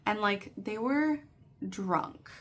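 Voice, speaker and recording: A woman's voice: medium pitch, lively intonation, very slow. A woman in her thirties chatting casually and telling a rambling, meandering story with lots of filler phrases, like a vlogger catching up with viewers. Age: 30s. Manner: chatty, meandering, frequent "like" and "you know". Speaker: craft vlogger.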